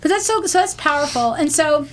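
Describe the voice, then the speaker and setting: A woman's voice: sits high, expressive, brisk, a crowd in the background. A woman hosting a podcast about recovery, earnest and emphatic, encouraging her guest. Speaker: podcast host, recovery store owner. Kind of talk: casual podcast conversation.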